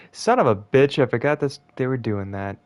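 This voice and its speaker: A man streaming a video game with casual commentary, blunt, sweary and given to exasperation. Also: mid-range voice. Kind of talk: casual gaming commentary with reading of on-screen text.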